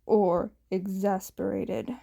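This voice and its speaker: A woman voicing a deadpan, exasperated male hero and protective father in a flat, curt manner, as in an audio story. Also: mid-range voice, takes it slowly, gravelly, voice pushed down, heavily acted. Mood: dry.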